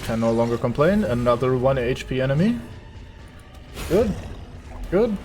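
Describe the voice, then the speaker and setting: A German-accented man streams a game and narrates his moves in a casual, wry way. He teases his own party and swears freely. His voice sits mid-pitch over faint background music. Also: very animated, normal speed, darkish tone. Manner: sarcastic, joking with the game, swears. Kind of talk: casual gameplay commentary.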